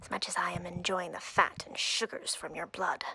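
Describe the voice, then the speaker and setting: A woman playing an imperious, coldly flirtatious drider queen in a roleplay audio, breathy and whispery, speaking haughtily and deliberately with playful menace. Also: fairly low pitch, steady tone, bright, forceful tone, exaggerated voice, poor audio. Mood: predatory, teasing.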